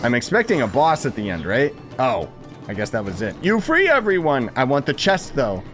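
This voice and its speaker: A man's voice: mid-range voice, wildly swooping pitch, normal speed, a bit bright. A man streaming a game with casual, opinionated, sardonic commentary. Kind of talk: casual live gameplay commentary. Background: game music.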